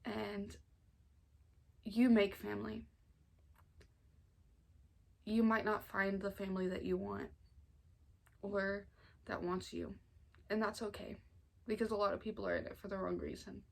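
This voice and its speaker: A young American woman of about nineteen, talking informally and earnestly in a halting way, as in a personal storytime vlog. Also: mid-range voice, animated, creaky. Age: 19, nearly 20. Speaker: family vlogger. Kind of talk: personal storytime vlog, casual confessional talk.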